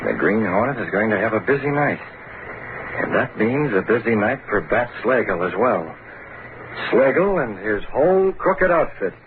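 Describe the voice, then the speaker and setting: Casting: a resolute masked avenger for a vintage radio serial, commanding and threatening, on a crackly narrow-band recording. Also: male voice, lowish voice, sing-song, moderate pace. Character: masked vigilante posing as a menacing crook, commanding and resolute. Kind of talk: 1930s-40s radio drama acting.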